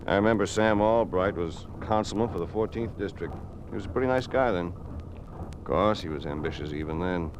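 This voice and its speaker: A man's voice: deep voice, fairly quick, lightly acted. An American man acting a wry, reflective company colleague in a 1950s radio drama, talking in a musing way. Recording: noisy old 1950s radio broadcast.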